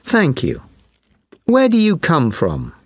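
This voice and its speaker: A woman playing an examiner in a speaking test, asking each question slowly and clearly. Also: low-pitched, wildly swooping pitch, a little mellow, no echo, studio quality. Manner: slow, clearly spaced questions. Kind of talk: scripted exam interview.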